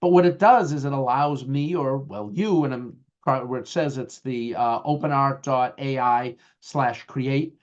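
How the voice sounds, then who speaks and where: A man's voice: mid-range voice, a little slow, few pauses. A middle-aged man with a Boston accent presenting a webinar in a loose, conversational way, full of fillers and restarts. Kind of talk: webinar talk with slides. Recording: dull lo-fi webinar audio.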